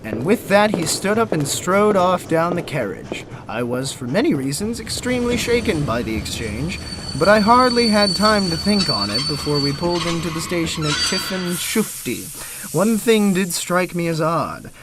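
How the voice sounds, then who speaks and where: An actor playing a prim, easily flustered young gentleman who narrates his own story theatrically, polite and incredulous. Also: high-pitched, big pitch swings, moderate pace, background noise. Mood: narrating, wry. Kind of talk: theatrical first-person narration and dialogue.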